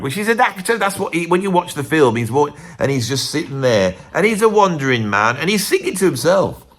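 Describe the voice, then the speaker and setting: A middle-aged man hosting a music video channel, chatting in a rambling, digressive way and speaking higher than his natural voice. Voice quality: lively, swooping pitch. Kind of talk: rambling casual monologue.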